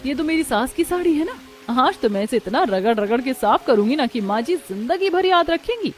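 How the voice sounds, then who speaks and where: A woman's voice: sits high, lively intonation, fairly quick. A woman voicing a feisty, sarcastic young Indian daughter-in-law in a moral story cartoon, with a sassy, cutting, indignant manner. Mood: spiteful. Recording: music behind the voice. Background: background music.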